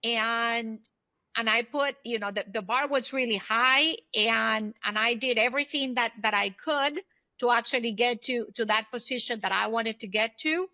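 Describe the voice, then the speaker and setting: A woman in her fifties with a clearly bright, somewhat high voice. She talks reflectively and conversationally, as a guest answering interview questions. The sound is dry, with very little room echo. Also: moderate pace. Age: 50s. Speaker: podcast guest, former executive turned solopreneur.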